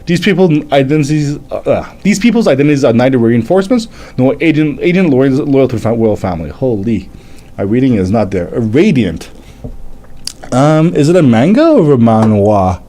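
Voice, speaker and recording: A man in his thirties whose first language is French, hosting a casual live manga reading and chat, halting and stumbling as he reads aloud. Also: medium pitch, very animated, warm tone. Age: 30s. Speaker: livestream host, manga reviewer.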